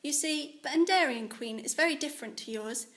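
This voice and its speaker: A woman's voice: sits high, a little fast, fairly bright tone. A woman voicing a persistent, upbeat young girl sea creature in a children's audiobook, with a light, casual delivery. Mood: earnest, explaining. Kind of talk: children's audiobook character acting.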